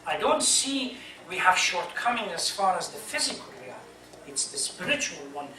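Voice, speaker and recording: A man whose first language is Persian preaches with emphasis, pausing haltingly and putting rhetorical questions to his listeners. His voice is bright and forceful. It is picked up from a distance in an echoey room, with faint noise behind. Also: high voice, sing-song. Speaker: imam, religious lecturer. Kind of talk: impassioned religious sermon. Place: echoey hall, speaking to a congregation.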